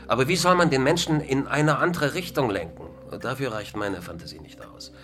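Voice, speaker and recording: An actor playing a resigned, pessimistic philosopher in a radio drama interview, lecturing in a ponderous intellectual manner. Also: male voice, mid-range voice, expressive, fairly quick, fairly bright tone, natural voice. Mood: gloomy, lecturing. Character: gloomy, resigned male philosopher and culture critic.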